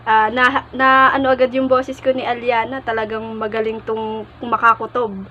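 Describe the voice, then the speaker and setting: A woman from the Philippines with a Filipino accent, chatting casually and in an animated, informal way as a vlogger. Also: a little high, moderate pace, highs cut off, over loud music. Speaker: vlogger, prank caller.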